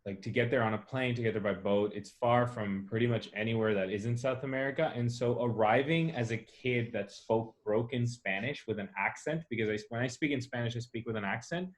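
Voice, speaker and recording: A man's voice: medium pitch, brisk, hardly any pauses. A man from a childhood split between the US, Chile and China, answering interview questions in a casual, explanatory, reflective way. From born in Chile, raised in the US, Chile and China. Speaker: interviewee, soccer club founder. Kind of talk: casual personal storytelling.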